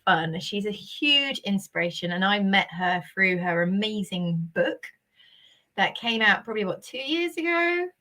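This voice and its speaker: A woman's voice: mid-range voice, lively intonation, a little slow, sharp tone. Bubbly woman hosting a live chat. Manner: enthusiastic, bubbly and emphatic. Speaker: host. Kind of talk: live-streamed interview chat.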